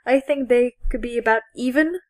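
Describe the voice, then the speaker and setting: Higher-pitched woman, chatty and giggly. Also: normal speed, darkish tone. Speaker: podcast co-host. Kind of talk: casual geek chat.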